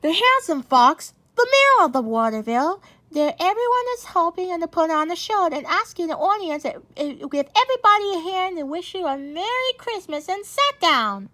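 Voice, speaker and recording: A woman reading a storybook aloud in a halting, stumbling way, often muddling her words. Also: extremely high pitch, big pitch swings, bright, forceful tone.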